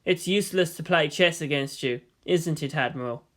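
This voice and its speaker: A man's voice: a little high, normal speed, a bit bright, lightly acted. Man as a guarded, dry teenage boy. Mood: wry.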